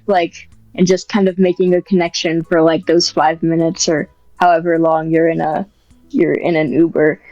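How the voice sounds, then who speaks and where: A woman with a fairly low voice chats in a halting, filler-heavy way. The sound is muffled and dry, and faint music sits underneath. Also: warm tone, no echo. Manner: hesitant, many fillers and restarts. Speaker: podcast guest, ASMR script writer. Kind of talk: casual interview chat.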